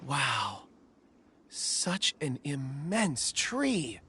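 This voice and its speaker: A man voicing a thoughtful, gentle young man in a video game, speaking in a measured, reflective and slightly hesitant way. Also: a little high, sing-song, a little hiss or hum. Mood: awed.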